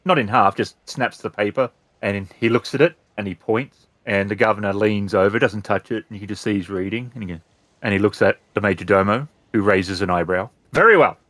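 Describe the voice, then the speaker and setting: A man acting as game master, narrating a tabletop roleplaying game in measured, piecemeal phrases. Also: fairly low pitch, steady tone, fairly bright tone, no echo.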